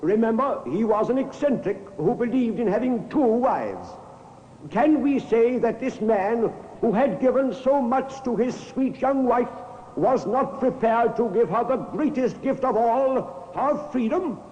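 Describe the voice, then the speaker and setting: An actor playing a formal, probing defending counsel who speaks deliberately and rhetorically in old-time radio courtroom style. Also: male voice, very high-pitched, expressive, a little mellow. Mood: pleading, solemn. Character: eloquent, probing male defending counsel pleading to a jury. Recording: dull, echoey old radio recording, long reverb.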